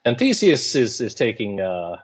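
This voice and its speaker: A man's voice: medium pitch, very animated, moderate pace, husky. A man speaking as an English teacher, giving informal lecture commentary in a colloquial, wry way.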